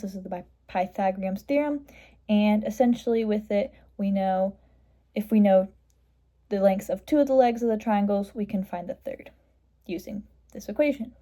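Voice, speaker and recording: Female tutor for math walkthrough: middle-pitched, somewhat mellow voice, matter-of-fact step-by-step delivery. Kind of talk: step-by-step explanation of a worked math problem.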